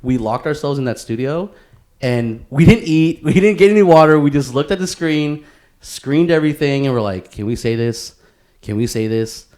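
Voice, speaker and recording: A man with a medium-pitched voice hosts a podcast in a loose, conversational style. He talks in slang, swears freely and keeps calling people bro and dude. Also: moderate pace, a little mellow. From San Francisco Bay Area. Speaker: podcast host. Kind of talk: casual podcast chat.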